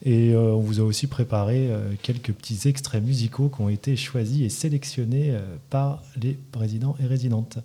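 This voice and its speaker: A man's voice: medium pitch, fairly even intonation, normal speed, few pauses, mellow, a little hiss or hum. A man presenting a community radio show and introducing the participants, with frequent hesitations. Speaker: radio show host and care worker.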